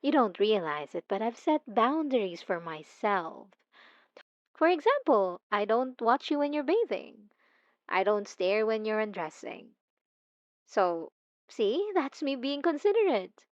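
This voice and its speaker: A woman's voice: a little high, big pitch swings, a little slow, no room sound, highs cut off. A woman voicing a possessive, obsessive female stalker in an intimate ASMR roleplay, coy and mocking, cooing with teasing menace. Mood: playful, defensive.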